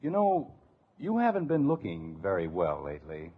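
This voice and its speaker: A man's voice: sits low, huge pitch swings, moderate pace, husky, heavily acted. An actor playing a flustered, well-meaning but stubborn husband and father in an old radio sitcom. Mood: flustered concern. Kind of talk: 1950s radio sitcom acting. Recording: dull old radio recording.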